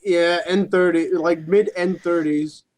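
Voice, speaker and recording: A man's voice: high-pitched, fairly even intonation, unhurried, a little mellow, rough recording. A man co-hosting a podcast, chatting casually about sports with informal, sweary phrasing. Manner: informal, sweary, hedging as he argues. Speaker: podcast co-host.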